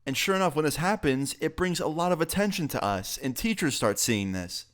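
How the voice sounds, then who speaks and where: A man's voice: a little high, expressive, fairly quick. A young man, a bit sick, telling a casual, profane story on his vlog. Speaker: YouTuber.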